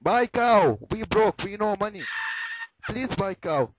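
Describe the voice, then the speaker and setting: Man doing a high-pitched, insistent Indian-accented brother. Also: animated, takes it slowly, a little hiss or hum. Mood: insistent, begging. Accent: put-on Indian accent. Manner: clipped, repetitive broken English. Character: broke, insistent brother begging to sell his cow. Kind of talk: prank phone call.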